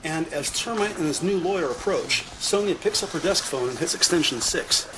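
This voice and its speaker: A man reading scripted descriptive narration in a measured, announcer-like way. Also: fairly high pitch, expressive, noisy background. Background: background music.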